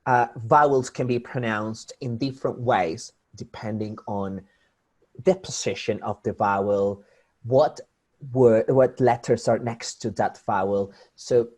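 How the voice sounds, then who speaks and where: A man's voice: mid-range voice, a little mellow, breathy edge. A man with a Spanish accent, a native Spanish speaker, explaining pronunciation tips to his English students in a class. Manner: explanatory, addresses students directly, many restarts. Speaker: English teacher. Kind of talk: online class lecture.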